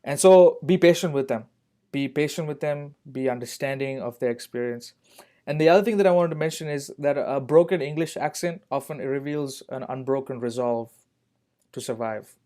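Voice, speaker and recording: A man's voice: medium pitch, moderate pace, mellow. Earnest man stressing his points. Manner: earnest, repeats key points for emphasis. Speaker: guest on a youth ministry podcast. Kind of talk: reflective podcast conversation.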